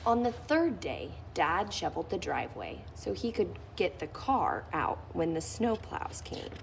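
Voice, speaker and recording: A woman's voice: lowish voice, sing-song, unhurried, vocal fry, slight background noise. A woman reading a children's picture book aloud, lifting her voice expressively on key phrases. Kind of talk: read-aloud storytelling.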